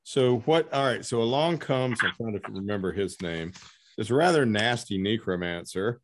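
A man with a fairly low voice hosts a casual interview. He feels his way into questions, stopping and rewording them as he talks in a conversational style. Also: lively intonation. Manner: halting, rephrasing questions mid-sentence. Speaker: podcast host interviewing an author.

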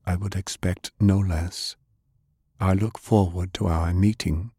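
A man voicing a calm, formal ruler of an empire who speaks slowly and coldly in a storybook reading. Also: sits low. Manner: measured, formal. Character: calm powerful leader, formal and cold.

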